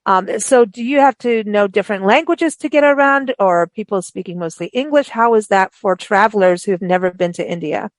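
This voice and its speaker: A woman hosting a radio interview, raised in Africa, who talks in a chatty, animated way with many restarts. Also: mid-range voice, brisk, few pauses, dry recording. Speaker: radio show host. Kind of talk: casual interview chat.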